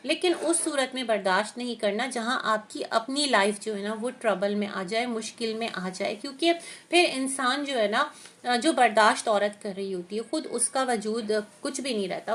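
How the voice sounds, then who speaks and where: Higher-pitched woman with a sore throat. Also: a bit bright. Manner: opinionated and explanatory, with frequent hesitations. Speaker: reaction vlogger. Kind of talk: reaction commentary.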